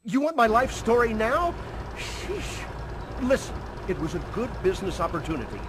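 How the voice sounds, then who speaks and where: An actor playing a grouchy, cynical candy company boss in a cartoon game, talking in an exasperated, dismissive way. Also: male voice, high voice, big pitch swings, normal speed. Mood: irritated. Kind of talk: cartoon mystery game acting. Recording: clear background noise.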